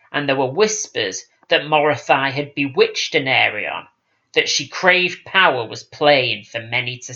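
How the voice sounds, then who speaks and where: Guy reading epic fantasy, solemn n measured, medium pitch, kinda lo-fi sound. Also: sharp tone. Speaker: audiobook reader. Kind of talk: scripted dramatic fantasy narration.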